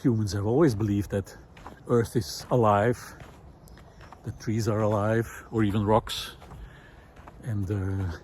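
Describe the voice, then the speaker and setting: A man's voice: lowish voice, fairly even intonation, slow pace, darkish tone, slight background noise. A man hosting a vlog, giving a reflective, unscripted talk with thoughtful, hesitant phrasing while walking outdoors. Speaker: vlog host. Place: outdoors in a snowy park, walking.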